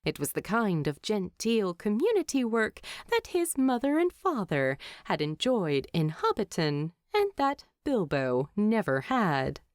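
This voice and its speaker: A woman narrator reading fan fiction aloud in an expressive storytelling style. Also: mid-range voice, measured pace, hardly any pauses, no echo, clean studio sound. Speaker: audiobook narrator.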